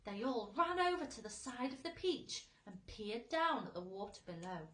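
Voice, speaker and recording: A woman's voice: on the high side, lively intonation, normal speed, a bit bright, reverberant. A woman, a teacher, reading a children's storybook aloud with dramatic, emphatic expression.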